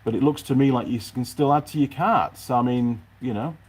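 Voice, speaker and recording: A man's voice: mid-range voice, brisk, darkish tone, husky. A man chatting casually and unscripted to his viewers as a mechanic vlogger, rambling along and thinking aloud in his workshop.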